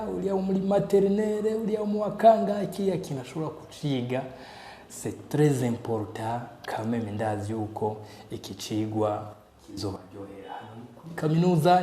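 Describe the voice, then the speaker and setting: A man's voice: medium pitch, darkish tone, reverberant, slight background noise. A Burundian man hosting an online show, emphatic and mixing in French and English phrases. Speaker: host of a business channel. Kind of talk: presenting an online show, introducing a guest.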